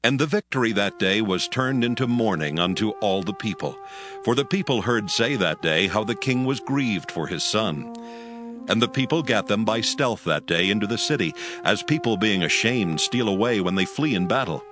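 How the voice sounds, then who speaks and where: Grave expressive male scripture narrator. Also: on the low side, moderate pace. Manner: steady, grave storytelling. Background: music.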